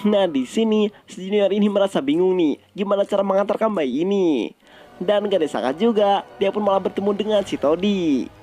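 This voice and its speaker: High-pitched man narrating casually. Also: animated, fairly quick, dark tone, faint music behind. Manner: colloquial, conversational. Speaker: movie recap narrator. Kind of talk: casual scripted storytelling narration.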